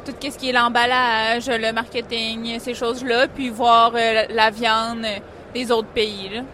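A woman's voice: fairly high pitch, level tone, measured pace, pushed and bright, a little hiss or hum. A woman from Quebec with a Quebec French accent, answering interview questions casually about her feed company. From Quebec, Canada. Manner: casual, many fillers.

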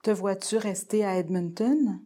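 A woman's voice: medium pitch, a little slow, dark tone. A woman hosting a podcast interview, chatting casually and asking probing follow-up questions, often filling with tu sais. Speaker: podcast host.

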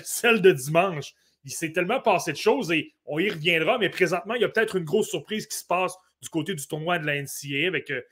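A man with a Québécois accent co-hosting a sports podcast, giving animated analysis with frequent fillers and restarts. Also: fairly high pitch. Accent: Québécois French. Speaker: podcast co-host. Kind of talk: casual sports podcast talk.

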